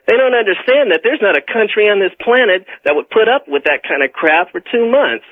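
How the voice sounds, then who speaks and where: A man's voice: sits high, lively intonation, brisk, no echo. A man with an American accent calling in to a radio show, giving his opinions in an emphatic, indignant manner. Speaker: phone-in caller. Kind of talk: opinionated call-in radio talk. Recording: tinny phone line on 1990s radio.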